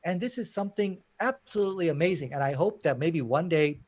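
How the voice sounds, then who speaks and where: A man's voice: on the high side, expressive, a little fast. A man from Pakistan arguing emphatically in a live online debate. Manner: emphatic, combative, fond of "you know".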